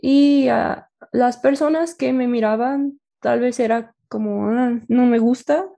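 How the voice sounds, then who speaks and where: Mexican woman, native spanish, mellow slightly high voice w lots of fry, hesitant casual chat. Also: measured pace. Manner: hesitant, searching for words in German. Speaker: interviewee.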